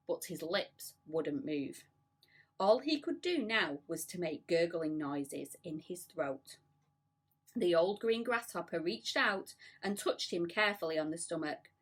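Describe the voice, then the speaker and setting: A woman reading a children's storybook aloud in an expressive narrating style. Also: fairly low pitch, slightly rough. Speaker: school staff member reading aloud.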